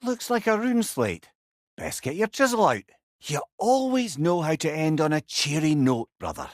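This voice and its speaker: Lively, wry old Scottish sage. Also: male voice, a little high, normal speed, no room sound, studio quality. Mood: animated, wry. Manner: wordy, eloquent, wry storyteller. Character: clever, chatty, sardonic old male severed head companion. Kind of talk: video game character dialogue.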